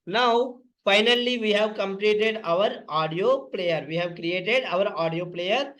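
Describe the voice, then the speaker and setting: A man's voice: high voice, takes it slowly, fairly bright tone. A man instructing in a step-by-step coding tutorial, often repeating and spelling out terms.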